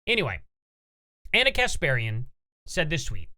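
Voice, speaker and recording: A man's voice: fairly high pitch, wildly swooping pitch, moderate pace, pushed and bright. A man hosting a casual reaction commentary, opinionated and rambling as he reads posts aloud. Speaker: commentary channel host.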